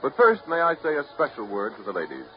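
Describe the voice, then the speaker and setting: A man's voice: medium pitch, wildly swooping pitch, fast, faint music behind. An American man announcing on 1930s radio, reading a scripted sponsor message in a formal, polished, courteous manner. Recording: muffled, noisy 1930s radio broadcast recording.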